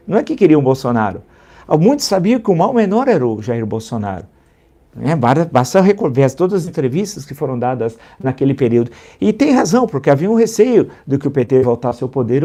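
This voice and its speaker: Man, Brazilian accent, live political commentary, emphatic, mellow tone. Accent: Brazilian Portuguese. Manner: emphatic, repeats figures for stress, rising pitch when indignant.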